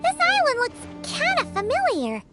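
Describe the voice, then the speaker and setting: A woman voicing a tiny childlike female fairy companion in a video game, blunt and excitable, with a squeaky cartoonish delivery. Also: normal speed, faint music behind, heavily acted. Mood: puzzled, skeptical. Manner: refers to herself in third person, squeaky, blunt.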